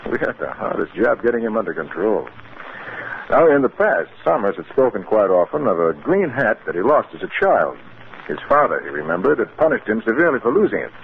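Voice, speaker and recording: An actor playing a cautious, scholarly psychiatrist, earnest and explanatory, in a 1950s radio drama. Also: male voice, lowish voice, sing-song, brisk, vocal fry. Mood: grave, deliberate. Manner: professorial, explanatory. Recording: hissy narrow-band 1950s radio recording.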